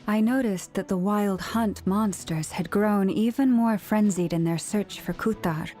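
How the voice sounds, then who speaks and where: Woman playing a mellow, composed female leader. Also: medium pitch, a little slow, faint music behind, lightly acted. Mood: serious, resolute. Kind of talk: anime game voice acting.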